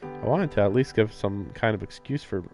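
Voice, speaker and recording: A man's voice: fairly low pitch, normal speed. A man livestreaming a visual novel, casually reading narration aloud and adding sardonic, incredulous asides. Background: game music.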